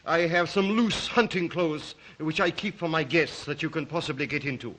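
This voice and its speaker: An actor playing a courtly, sinister aristocratic Cossack count, with a Russian accent and a formal, ingratiating manner in an old-fashioned drama. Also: male voice, on the high side, normal speed, a bit bright. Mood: courtly, hospitable.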